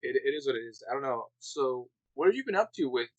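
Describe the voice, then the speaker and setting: A man's voice: mid-range voice, big pitch swings, quick pace, lo-fi sound. A young man co-hosting a podcast in casual chat, talking loosely with many fillers and frequent laughs. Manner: chatty, lots of "like" fillers, laughs often. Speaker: podcast co-host.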